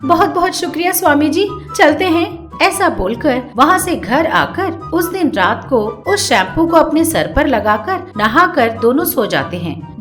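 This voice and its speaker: High-pitched, animated woman storyteller. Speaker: story narrator. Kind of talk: expressive scripted fairy-tale narration.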